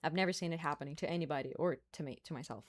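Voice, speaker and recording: A woman's voice: low voice, very fast. A young woman with a Brazilian accent chatting casually on a live stream, laughing often and making playful asides.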